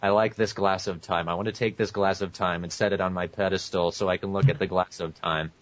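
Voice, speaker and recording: A man's voice: deep voice, fairly even intonation, fairly quick, fairly bright tone. A man co-hosting a casual podcast, jokingly reading aloud with mock drama and prone to breaking into laughter. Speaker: podcast co-host. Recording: muffled lo-fi podcast audio.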